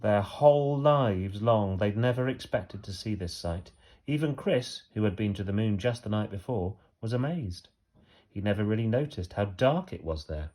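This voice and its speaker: A man reading a children's story aloud like a teacher, expressively, with emphatic phrasing and pauses for effect. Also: fairly low pitch, expressive, a little mellow.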